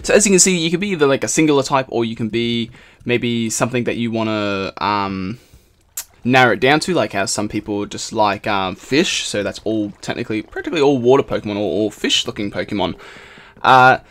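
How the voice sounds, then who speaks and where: Slightly hoarse young man gaming. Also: mid-range voice, animated, fairly quick, fairly bright tone. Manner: rambling, upbeat, many fillers and restarts. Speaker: gaming channel host. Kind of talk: casual game commentary.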